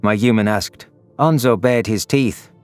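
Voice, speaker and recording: A man's voice: lowish voice, a little slow, smooth. A man reading scripted, dramatic narration for a science fiction audio story. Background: background music.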